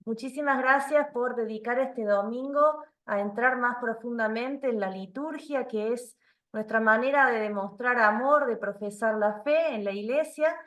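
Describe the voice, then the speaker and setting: A woman's voice: a little high, normal speed, fairly bright tone, recorded from a distance. Echoey woman hosting. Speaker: host. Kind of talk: introducing a guest online.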